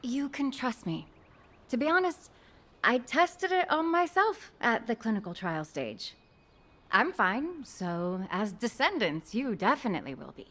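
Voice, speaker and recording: An earnest young female researcher from a video game. Her voice is fairly high and moves expressively in pitch. She comes across as determined and sincere. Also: sing-song, a little slow, fairly bright tone, exaggerated voice. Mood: reassuring.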